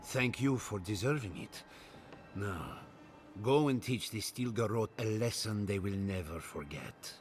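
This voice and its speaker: An actor playing a solemn, resolute militia commander in a fantasy game cutscene. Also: male voice, lowish voice, expressive, measured pace, a little hiss or hum. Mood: solemn, commanding. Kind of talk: dramatic video game cutscene acting.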